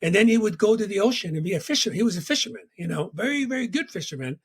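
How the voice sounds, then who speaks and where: A middle-aged man from Tonga telling his life story as an interview guest, reflective and warm, with a constant "you know.". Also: high voice, lively intonation, rapid-fire, breathy edge.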